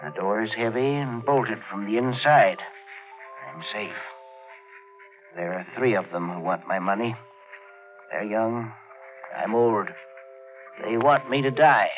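A frail, fearful old man speaks slowly and haltingly in a 1940s radio drama. The recording is muffled, with organ music clearly behind him. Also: lowish voice, lively intonation. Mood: brooding, wary. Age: older. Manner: halting, fearful, brooding monologue.

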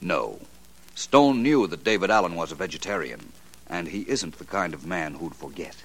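An actor playing a suave, clever detective in a 1940s radio drama, explaining in measured tones. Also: male voice, low-pitched, sing-song, heavily acted, low-quality recording. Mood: calm. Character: suave male detective, calm and cerebral.

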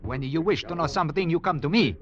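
An actor in an old radio drama playing a furtive, wheedling Italian legionnaire who speaks insinuating broken English with an Italian accent. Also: male voice, fairly high pitch, expressive, fast talker, close to an everyday voice. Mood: furtive, ingratiating. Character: sly, conspiratorial Italian legionnaire, a wheedling small-time schemer. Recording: dull vintage radio recording.

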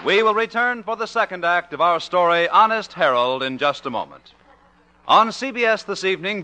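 A man's voice: high voice, sharp tone. A man announcing in scripted 1950s network radio style.

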